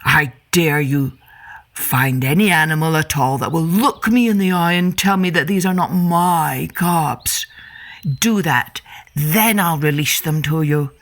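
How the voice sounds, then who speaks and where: A woman voicing a menacing, possessive mother lion in a children's story, growling and snarling with drawn-out, taunting words. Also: sits low.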